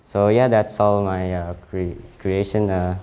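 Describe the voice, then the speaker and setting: Halting young Indonesian man, mellow voice. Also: low voice, flat delivery. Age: late teens. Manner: halting, frequent self-corrections. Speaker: student presenting his CV. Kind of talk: informal presentation.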